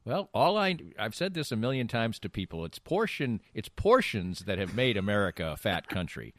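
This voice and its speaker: Low-voiced, animated middle-aged man bantering. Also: moderate pace, no room sound, studio quality. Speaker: podcast co-host. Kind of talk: casual banter and storytelling.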